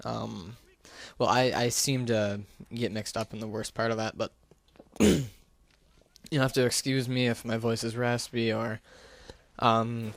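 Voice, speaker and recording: A man giving a casual, unscripted gaming commentary into a gaming headset, talking in a hesitant, rambling, self-deprecating way. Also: mid-range voice. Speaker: gaming YouTuber.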